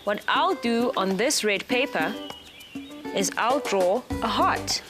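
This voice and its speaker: A cheerful woman hosts a children's program and guides young viewers through a craft one step at a time. Her voice sits a little high, and she speaks with patient warmth. Music plays clearly underneath her. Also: lively intonation, slow. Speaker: children's TV presenter.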